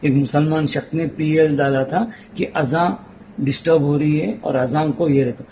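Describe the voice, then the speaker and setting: Persistent high-voiced male interviewer. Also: fairly even intonation, moderate pace, mellow. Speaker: news interviewer. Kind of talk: probing news interview. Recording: noisy interview recording with ambient sound.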